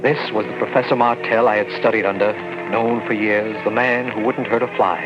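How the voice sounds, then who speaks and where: A man's voice: lowish voice, fairly even intonation, brisk, lightly acted. An actor playing a frightened young scientist who narrates his story in a 1940s radio drama. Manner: tense, shaken storytelling. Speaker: narrator and character. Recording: dull old radio recording, with music.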